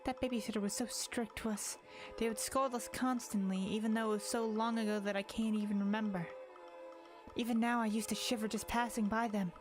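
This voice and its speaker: A timid teenage girl, voiced by a woman in a visual novel dub. She stammers, pleads and drops into breathy whispers when upset, fragile and easily shaken. Music plays softly behind her. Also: fairly high pitch, steady tone, a little fast, lightly acted. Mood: nervous, giddy. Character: timid, distraught teenage girl slowly turning giddy. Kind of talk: visual novel fan dub, dramatic reading.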